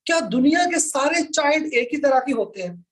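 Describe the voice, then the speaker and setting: A man's voice: very high voice, expressive, moderate pace, a bit bright. A man with a Pakistani accent lecturing informally to a class, explaining step by step and repeating his points. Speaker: law lecturer.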